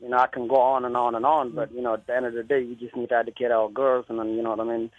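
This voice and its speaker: A man's voice: mid-range voice, flat delivery, rapid-fire, dry recording. A man from South Sudan calling in to a radio talk show, speaking earnestly and repeating himself often. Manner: earnest, repeats himself, many "you know what I mean". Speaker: caller. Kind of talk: phoned-in radio call. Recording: lo-fi phone line with faint music.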